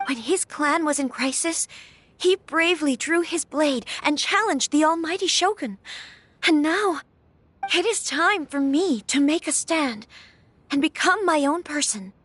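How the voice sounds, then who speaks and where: A gentle young noble lady, high voice, dry close recording, game dub. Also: unhurried, dry recording. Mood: thoughtful. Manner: polite, gentle. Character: young noblewoman heiress, polite and earnest.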